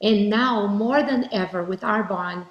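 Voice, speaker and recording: A woman's voice: mid-range voice, unhurried, highs cut off. Earnest Brazilian-born woman giving a keynote. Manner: earnest and heartfelt. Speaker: keynote speaker. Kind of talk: motivational keynote speech. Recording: roomy echo.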